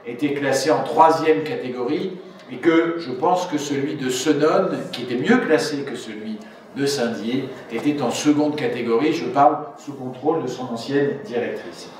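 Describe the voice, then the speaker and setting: A man giving a formal public speech as a local official, in a deliberate, rhetorical way with drawn-out phrases. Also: medium pitch, a little mellow. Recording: echoey room, distant mic, faint noise.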